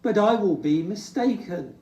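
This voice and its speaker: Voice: medium pitch, animated, unhurried, a little mellow, voice pushed up, lightly acted. A storybook reader voicing a troubled, fussy old Santa who sighs and laments in sing-song rhyme. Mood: fretful. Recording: echoey room, distant from mic.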